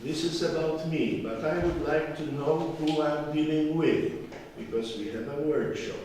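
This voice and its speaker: A man's voice: on the high side, unhurried, a little mellow. An older man from Poland, a professor and former ambassador, running a workshop lecture in English and teasing the audience with questions. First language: Polish. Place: university lecture hall. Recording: echoey lecture hall from far off, noisy.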